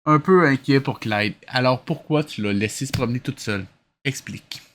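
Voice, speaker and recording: A man's voice: mid-range voice, moderate pace, fairly bright tone. Québécois guy doing a reaction show, sarcastic n exasperated, casual chat. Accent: Québécois French. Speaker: host of a series-watching reaction show.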